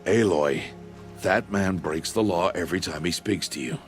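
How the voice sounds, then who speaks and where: An actor as deep, grave outcast hunter. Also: male voice, faint music behind, lightly acted. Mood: stern. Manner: grave, measured, terse, formal. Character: stern, solemn older male outcast hunter and guardian. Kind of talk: video game cutscene acting.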